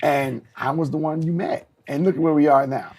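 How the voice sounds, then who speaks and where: A man's voice: on the high side, steady tone, brisk, a little mellow. A middle-aged man co-hosting a talk show in a casual, bantering conversation.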